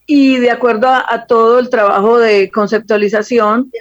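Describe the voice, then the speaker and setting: A woman's voice: fairly high pitch, flat delivery, normal speed, no room sound. Colombian female designer as interview guest, level intonation, somewhat dull lo-fi sound. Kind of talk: spontaneous interview answers.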